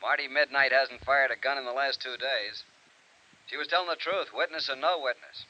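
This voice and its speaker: An actor playing a brisk, blunt police sergeant heard over the telephone in a vintage radio noir drama. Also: male voice, medium pitch, sharp tone. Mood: urgent. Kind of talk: 1950s radio noir acting. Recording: dull, hissy old radio recording.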